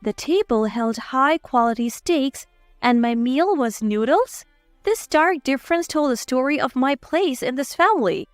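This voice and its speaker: Narrator: woman, bright lively pitch, earnest first-person storybook read, dry close sound. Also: no echo.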